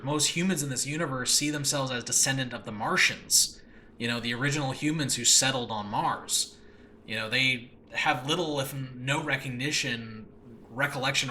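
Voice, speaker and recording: Bright, enthusiastic man rambling casually. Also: medium pitch. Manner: enthusiastic, rambling, self-interrupting. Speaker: podcast host, writer and voice actor of audio dramas. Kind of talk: casual unscripted solo podcast talk. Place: room in a new home, no regular recording space. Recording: faint background noise, makeshift home recording space.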